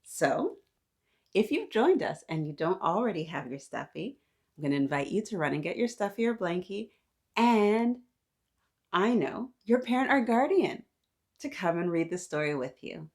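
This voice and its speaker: A woman's voice: on the low side, big pitch swings, moderate pace, a little mellow. A woman hosting storytime, chatting interactively with young children in an encouraging, playful manner.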